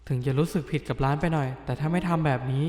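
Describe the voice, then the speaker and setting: A man voicing a bookish, easily flustered teenage schoolboy in an animated dub, grumbling to himself. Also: on the high side, very fast, lightly acted. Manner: flustered, grumbling inner monologue. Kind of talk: anime dub acting.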